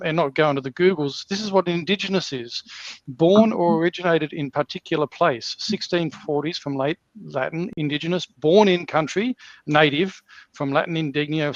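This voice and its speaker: Aussie bloke rambling on a video call, kinda high voice, dull dry sound, keeps saying mate. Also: male voice, dry recording. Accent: Australian. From Australia. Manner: rambling, repeats himself, calls his guest mate. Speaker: podcast host. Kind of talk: casual news commentary chat.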